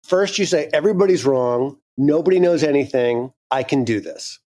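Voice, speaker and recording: A man's voice: a little high, animated, normal speed, warm tone. A man in his fifties, a guest speaking frankly and candidly in a casual interview, swearing now and then. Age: 50s. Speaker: guest, audio drama creator.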